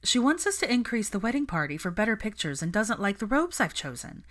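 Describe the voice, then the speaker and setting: A woman's voice: mid-range voice, sing-song, fairly quick, a bit bright, voice pushed up. Woman voicing a stressed, exasperated young bride-to-be witch. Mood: frustrated. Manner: venting, emphatic.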